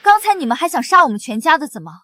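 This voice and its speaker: An actress playing a cold, righteous young wife in a costume drama, speaking with scorn. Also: female voice, sits high, sing-song, very fast, noisy background. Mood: scornful.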